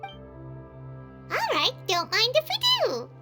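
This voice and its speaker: A woman voicing a tiny, eager, childlike fairy companion in a video game, squeaky and bubbly, with an exclamatory delivery. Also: wildly swooping pitch, drawn-out words, over loud music, full character voice. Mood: cheerful. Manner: bubbly, exclamatory, talks of self in third person. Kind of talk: scripted game voice acting.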